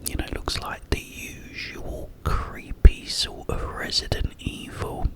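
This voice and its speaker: A man whispering a casual ASMR ramble about games, breathy and up close to the microphone, in short halting phrases with pauses. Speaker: ASMR content creator.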